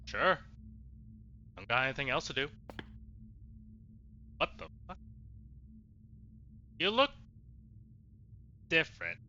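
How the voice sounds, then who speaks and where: A man doing expressive, emotional gameplay commentary as a streamer, casual and sweary. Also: fairly high pitch, slow pace, pauses often. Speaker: let's play streamer. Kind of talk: gameplay commentary with reading aloud of dialogue choices. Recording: dull lo-fi mic, faint noise.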